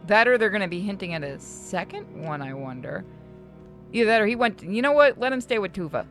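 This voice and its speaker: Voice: medium pitch, big pitch swings, brisk, fairly bright tone. A game stream host chatting casually over gameplay and talking to viewers and co-players. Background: faint video game music.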